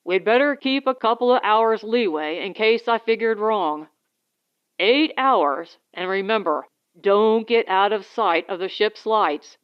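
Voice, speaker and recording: A woman plays a protective husband and spaceship captain in a science fiction audiobook. The delivery is slow and very clean, with blunt, instructive phrasing. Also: medium pitch, clear, resonant tone, dull audio, natural voice. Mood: firm warning.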